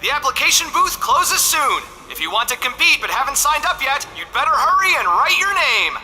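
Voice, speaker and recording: High, piercing tournament announcer. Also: male voice, very animated, a little fast, bright, forceful tone, full character voice. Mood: urgent. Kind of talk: public address announcement. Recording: long hall reverb, faint music behind.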